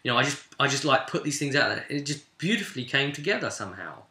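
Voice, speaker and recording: Bright, forceful man telling game stories. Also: mid-range voice, very animated, fast talker. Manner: rambling, emphatic, acts out quoted remarks. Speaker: game master recapping a session. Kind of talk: animated casual storytelling of a tabletop roleplay session. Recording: echoey, reverberant room.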